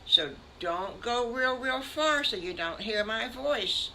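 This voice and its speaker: A woman's voice: on the high side, animated, takes it slowly, slight background noise, pitched above the performer's own voice, exaggerated voice, low-quality recording. A grandmother voicing a motherly mama pig. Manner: gently bossy, motherly. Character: kind, firm mother pig.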